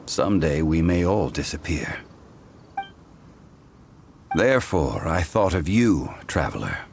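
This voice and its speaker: An actor voicing a calm, wise ancient god who speaks with formal, measured storytelling in a game cutscene, with game music behind. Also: male voice, very deep voice, vocal fry, slight background noise, full character voice. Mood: reflective. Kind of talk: video game cutscene dialogue.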